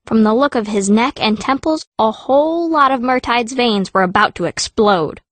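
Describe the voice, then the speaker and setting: A woman's voice: fairly high pitch, moderate pace, no room sound, lightly acted. A woman playing a fierce, wry teenage girl mage, narrating her own story in an audiobook. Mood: wry storytelling. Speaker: first-person narrator.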